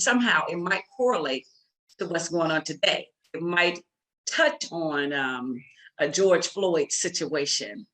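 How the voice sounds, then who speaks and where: A woman's voice: low voice, fairly bright tone, rough recording. A woman giving conversational interview answers as a guest, speaking emphatically, repeating phrases and acting out her own thoughts. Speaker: guest, playwright.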